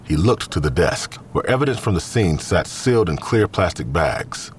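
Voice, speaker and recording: Serious male narrator for crime fiction: very low register, lively intonation, faint rumble. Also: moderate pace. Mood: serious, narrating. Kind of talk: scripted crime story narration.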